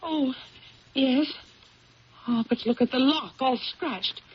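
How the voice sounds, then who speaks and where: A woman's voice: sits high, slow pace. An actress playing a distraught woman in a radio drama, gasping and exclaiming in broken phrases. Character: distraught woman finding her room ransacked. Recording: noisy, muffled old radio recording.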